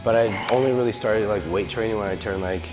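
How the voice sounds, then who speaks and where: A man's voice: fairly low pitch, steady tone, fast talker. A man in his thirties chatting casually and rambling with lots of fillers while working out in a gym. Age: 30s. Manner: rambling, filler-heavy, upbeat. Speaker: podcast host working out. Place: large echoey gym. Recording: echoey gym with music behind.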